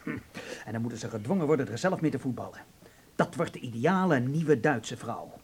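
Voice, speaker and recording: An actor playing a belligerent young nationalist son in a radio drama, sarcastic and emphatic. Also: male voice, mid-range voice, animated, brisk, a little mellow, breathy edge. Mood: sneering.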